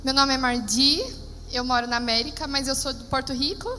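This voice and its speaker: A woman interpreting live on stage, lively and quick. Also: sits high, slight background noise. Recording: reverberant hall, faint ambience.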